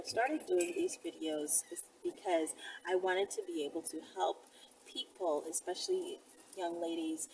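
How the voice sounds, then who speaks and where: Female self-defense vlogger, lowish voice, conversational and direct, recorded away from the mic. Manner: conversational, addressing viewers directly. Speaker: self-defense video presenter. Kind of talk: casual instructional talk to camera. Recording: distant mic, faint background noise.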